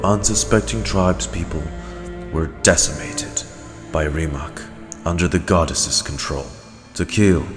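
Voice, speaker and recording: Man putting on a slow, deep, solemn storyteller. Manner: slow, dramatic, portentous. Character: solemn deep male storyteller of a tragic legend. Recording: long reverb under clear music.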